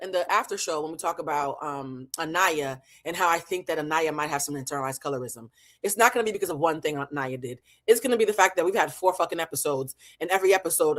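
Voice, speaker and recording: A woman's voice: fairly low pitch, fairly bright tone. An American woman from New England giving an opinionated recap as an online host, blunt, profane and emphatic, repeating her points for effect. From New England, United States. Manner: blunt, heavily profane, emphatic with rhetorical repetition. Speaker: online show host and emotional intelligence teacher. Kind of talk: opinionated reality show recap to camera.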